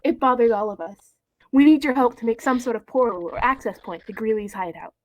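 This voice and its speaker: A woman's voice: fairly high pitch, brisk. A woman chatting casually and reading fanfiction aloud, with a playful, giggly, joking manner. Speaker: co-reader on a call. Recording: noisy online call with clicks.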